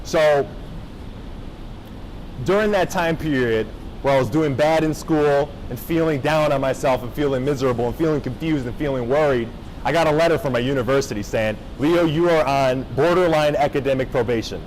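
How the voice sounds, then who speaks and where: A young man from Japan giving a casual motivational talk to high school students, often checking in with the crowd. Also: normal speed, darkish tone, a little hiss or hum, low-quality recording. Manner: casual, engaging, checks in with the audience. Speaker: motivational speaker. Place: high school gathering space.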